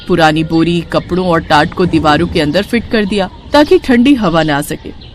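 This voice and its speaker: Woman narrating a story over noise. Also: mid-range voice. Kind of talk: scripted storytelling narration for a moral cartoon.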